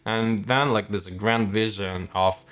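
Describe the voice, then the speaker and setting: A man from Russia with a Russian accent, a native Russian speaker, explaining technology as a startup co-founder in a halting, hesitant way. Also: lowish voice, steady tone, a bit bright, clear, resonant tone. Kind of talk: explanatory interview talk about technology.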